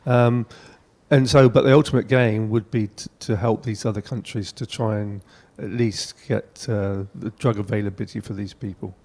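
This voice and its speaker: A man's voice: lowish voice, fairly even intonation, moderate pace, a little mellow. A man speaking conversationally on a discussion panel.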